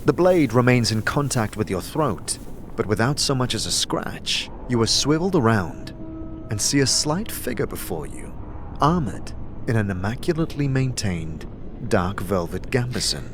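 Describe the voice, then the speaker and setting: A man narrating a scripted fantasy audio drama in a measured, theatrical way, as a game master would. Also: mid-range voice, big pitch swings, noisy background.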